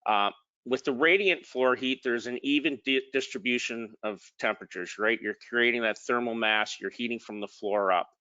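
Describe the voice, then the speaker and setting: Guy walking thru slides on a webinar, lots of ums, bright voice, dull lo-fi sound. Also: medium pitch, moderate pace, smooth, dry recording. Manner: informal, frequent um and uh, restarts words. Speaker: product manager presenting. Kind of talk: technical presentation.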